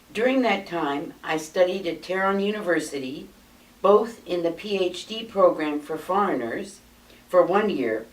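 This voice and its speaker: Older American woman reading, distant and roomy. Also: on the low side. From United States. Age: 80s. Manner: measured, steady reading from notes. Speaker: memoir narrator. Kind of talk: reading a prepared life story aloud. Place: small indoor room, seated. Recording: roomy sound from a distant mic.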